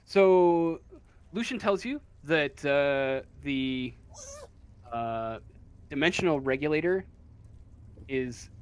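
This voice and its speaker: A man with a slightly high voice runs a tabletop game as game master. He speaks slowly and haltingly, building the scene in short, hesitant fragments. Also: lively intonation, clear, resonant tone. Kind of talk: narrating a tabletop role-playing game.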